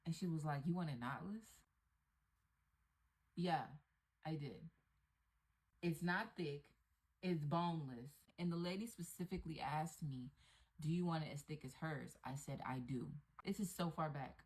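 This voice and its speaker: A woman with a notably low voice delivers a casual customer complaint to camera. She sounds displeased and makes her points emphatically, in a plain, matter-of-fact way. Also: a little slow, long pauses, darkish tone, low-quality recording. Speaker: customer giving a review.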